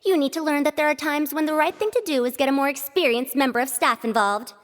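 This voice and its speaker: A woman's voice: sits high, fast, lightly acted. An actress playing a seasoned woman scholar who lectures younger colleagues sternly. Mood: lecturing. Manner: lecturing, reproachful, firm.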